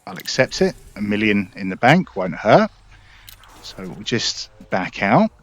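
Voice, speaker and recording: A man's voice: fairly low pitch, sing-song, measured pace, fairly bright tone, dry recording. A man giving casual, informal gameplay commentary, explaining things as a video host.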